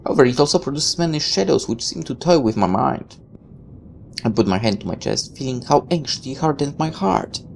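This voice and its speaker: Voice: mid-range voice, expressive, brisk, gravelly, darkish tone, a little hiss or hum. A person reading a visual novel aloud with casual asides, now and then stumbling over a line and correcting it.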